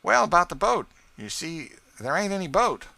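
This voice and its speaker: A man reading an audiobook and voicing a sly, nervous lawyer who talks in a smooth, conciliatory way. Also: sits high, big pitch swings, moderate pace, sharp tone, no room sound, voice pushed up, full character voice. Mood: wheedling. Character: sly, wheedling lawyer and slave catcher, nervous. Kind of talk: audiobook character voice.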